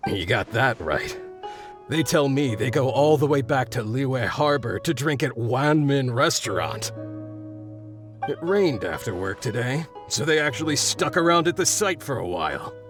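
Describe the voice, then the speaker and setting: A man's voice: mid-range voice, animated, unhurried, over music, natural voice. A man playing an easygoing, chatty excavation worker in a video game, with a folksy, good-natured manner. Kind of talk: video game character acting.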